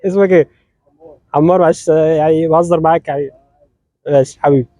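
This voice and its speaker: A man's voice: fairly high pitch, fairly even intonation, racing, mellow, dry recording, dull audio. A young Egyptian man leading a wedding as officiant. He is a little sick and his voice isn't at full strength, but he talks playfully and teases the couple. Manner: playful, teasing, switches between English and Arabic. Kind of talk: lively wedding sermon, joking with the couple.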